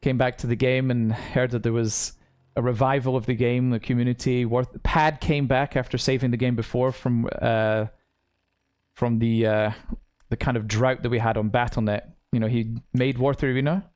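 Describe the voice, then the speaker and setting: A man with a mix of British and American English, calmly analyzing a live esports match and laughing easily. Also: mid-range voice, moderate pace, clean tone. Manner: easygoing, laughs often, analytical. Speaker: esports co-caster. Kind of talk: live game commentary.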